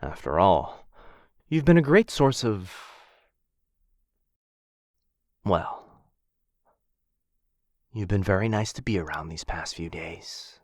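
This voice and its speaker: Guy doing a low voiced dragon for fantasy asmr, super polite but possessive, talks down sweetly, really swoopy delivery. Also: lively intonation, a little slow, pauses often, no room sound, studio quality. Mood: fond, reassuring. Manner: mock-polite, coaxing, theatrical, condescendingly affectionate. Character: possessive male dragon, courteous captor doting on human pet. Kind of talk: boyfriend ASMR fantasy roleplay.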